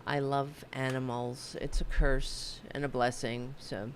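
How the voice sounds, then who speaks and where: A woman's voice: extremely low pitch, level tone, measured pace, a little hiss or hum. Chatty woman host losing her voice. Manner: rambling, chatty, self-deprecating, swears and corrects herself. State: losing her voice, a little sick. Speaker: host of a political talk livestream. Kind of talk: casual livestream chat. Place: home office.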